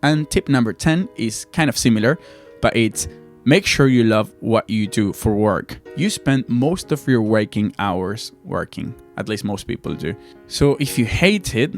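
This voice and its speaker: A young man from Argentina, a native Spanish speaker, giving casual advice to viewers as a video host. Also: mid-range voice.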